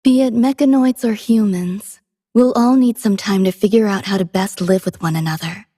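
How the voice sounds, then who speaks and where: A woman playing a gentle, poetic female android companion in a game, soft-spoken and wistful with a breathy voice. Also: medium pitch, dry recording, clean studio sound, natural voice. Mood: reflective. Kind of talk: scripted game character voice lines.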